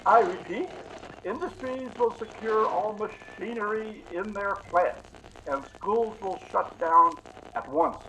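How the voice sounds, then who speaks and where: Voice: medium pitch, takes it slowly, pushed and bright, low-quality recording. An actor playing an urgent, formal 1950s radio news announcer, reading a bulletin emphatically over slight background noise.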